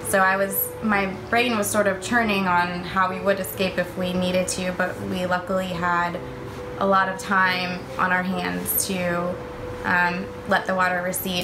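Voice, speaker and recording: A woman with a lowish voice and very even intonation shares a personal account conversationally. The room is echoey, with clear background noise. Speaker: mother recounting a flood. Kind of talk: candid personal storytelling.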